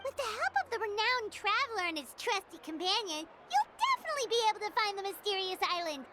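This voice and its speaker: A woman voicing a tiny, excitable, childlike fairy companion in a video game, squeaky and cheeky, speaking of herself in the third person. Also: fast, heavy background noise. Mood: encouraging. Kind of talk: video game character acting.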